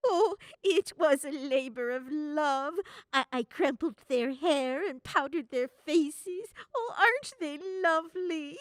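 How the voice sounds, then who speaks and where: A woman's voice: high-pitched, animated, takes it slowly, few pauses, dry recording, clean studio sound. A woman playing a fond, sentimental merchant's wife in a radio drama, gushing with affection. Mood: doting, merry.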